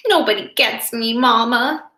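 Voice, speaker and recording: A woman's voice: a little high, unhurried, bright, forceful tone. A woman voicing an upset, misunderstood young zebra in a children's storybook read-aloud. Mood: plaintive. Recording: roomy, echoey home office.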